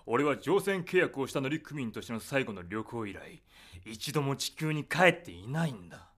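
A man's voice: medium pitch, very animated, moderate pace, fairly bright tone. A man playing a stubborn, crafty old spaceship engineer in an audio drama, arguing theatrically. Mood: wily, wheedling. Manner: wheedling, argumentative, theatrical.

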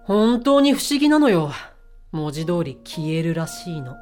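A woman acting in an audio drama as a bossy, sharp-tongued mission leader, blunt and self-assured, with feminine phrasing. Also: on the low side, very animated, a little slow, soft background music. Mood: grave, explanatory.

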